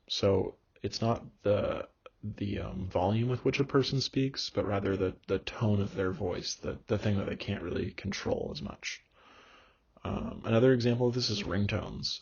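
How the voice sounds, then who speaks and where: A young man giving a recorded course lecture, explaining in a measured way with frequent ums and uhs. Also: lowish voice, gravelly, breathy edge. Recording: dull lo-fi recording.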